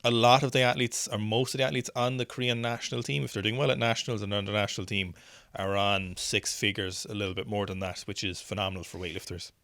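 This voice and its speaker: A man hosting a strength news show in a relaxed, chatty way, thinking aloud through each clip with plenty of restarts. His voice sits somewhat low. The sound is dry and close, with no room echo. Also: fast. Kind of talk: casual video commentary on weightlifting clips.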